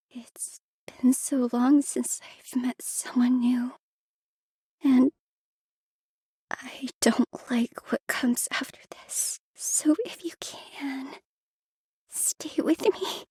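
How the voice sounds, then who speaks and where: A woman voicing a lonely, sweet, timid young girl in a visual novel game, with a soft, breathy, childlike delivery. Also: sits high, no echo, heavily acted. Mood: soft pleading. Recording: music behind the voice.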